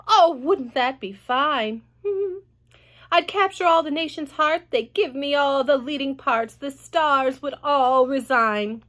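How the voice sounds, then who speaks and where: A woman voicing a vain, bullying aunt in a storybook reading, slow and loud as she preens and barks orders. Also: high-pitched, pitched above the performer's own voice. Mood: preening, bossy.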